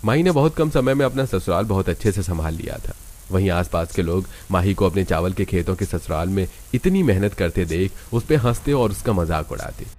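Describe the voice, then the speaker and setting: Low-voiced man narrating a cartoon story. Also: lively intonation, fairly quick, a little mellow.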